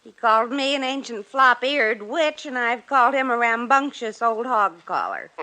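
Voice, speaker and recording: An actress playing a chatty, feisty hospital secretary in a 1950s radio drama, gossipy and indignant. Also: female voice, a little high, very animated, moderate pace, sharp tone.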